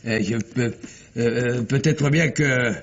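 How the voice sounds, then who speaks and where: An actor playing an excitable, hesitant witness in a radio drama, fumbling for words. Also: male voice, medium pitch, unhurried. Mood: hesitant, flustered. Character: excitable, hesitant southern French male witness, easily flustered. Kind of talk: 1950s French radio drama acting. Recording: muffled old radio recording, faint noise.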